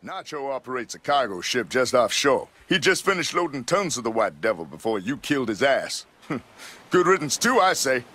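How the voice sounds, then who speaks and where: An actor playing a gruff, foul-mouthed male criminal associate in a video game cutscene. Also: medium pitch, big pitch swings, normal speed, bright, forceful tone, exaggerated voice. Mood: smug.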